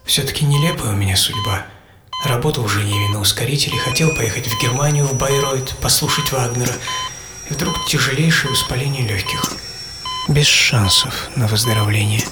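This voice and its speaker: A man's voice: mid-range voice, brisk, loud music behind the voice, lightly acted. A man playing a witty, ironic English physicist reflecting on his life in a theatrical radio monologue. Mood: wry, reflective. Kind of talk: dramatised first-person monologue, radio play.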